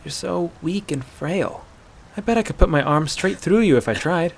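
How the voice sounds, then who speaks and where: Man voicing a fierce, high-pitched hot-tempered huntress. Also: expressive, fast, a little hiss or hum. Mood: scornful, softer. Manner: shouting, swearing, stammering outbursts. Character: fierce, hot-tempered huntress werewolf woman, exasperated and brash. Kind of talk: dramatic fantasy audiobook character voice.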